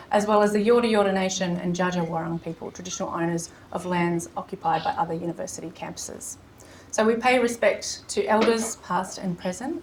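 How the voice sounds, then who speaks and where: A woman giving a prepared, formal acknowledgement to an audience as an event host, in a measured manner. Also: lowish voice, a little hiss or hum, poor audio. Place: seminar room with audience.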